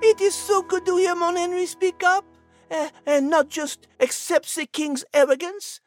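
A woman's voice: very high voice, flat delivery, a little slow, soft background music. A woman voicing a small, genteel female animal character with a French accent and an earnest, formal way of talking. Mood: admiring.

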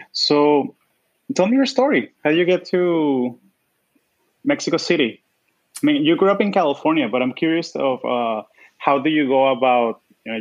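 A man hosting a podcast, asking curious, halting questions with fillers. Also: mid-range voice, highs cut off. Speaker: podcast host. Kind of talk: podcast interview.

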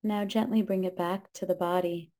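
Woman doing guided meditation, really slow n calm, lowish voice, pretty flat, short gentle phrases.

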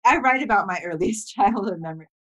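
A woman who grew up in Thailand, speaking as a memoir author and guest in a casual chat, reflective and soft-spoken with many "you know" fillers and light laughs. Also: mid-range voice, lively intonation, fast. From grew up mostly in Thailand.

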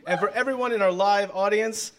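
A man's voice: high-pitched, a little fast, a bit bright. A man hosting a live theater show, announcing to the audience from the stage. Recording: live theater, faint noise.

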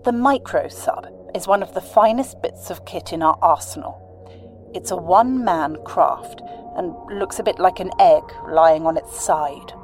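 A woman's voice: on the low side, measured pace, darkish tone. A woman playing a proud space mission expert explaining things in a documentary-style audio drama interview. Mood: proud, explanatory. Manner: explanatory, professional. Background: music.

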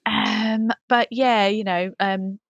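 A woman's voice: mid-range voice, steady tone, slow, no echo, muffled recording. A woman co-hosting a podcast in casual comic chat, hesitant and drifting into asides. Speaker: podcast co-host.

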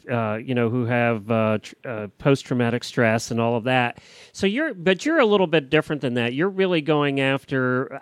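Smooth-voiced man hosting a podcast. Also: mid-range voice, dry recording. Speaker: podcast host. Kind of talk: casual conversational interviewing.

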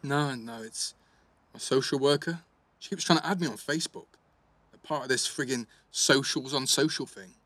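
A man's voice: mid-range voice, moderate pace, sharp tone, dry recording. A man playing a cheeky, restless teenage schoolboy in care, blunt and slangy, in a naturalistic audio drama. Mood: guarded, wry.

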